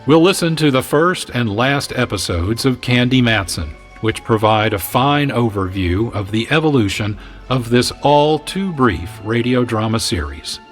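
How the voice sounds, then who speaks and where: A man hosting a radio show, reading scripted announcements in a measured, formal way. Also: medium pitch, expressive, faint music behind. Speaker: radio show producer and host.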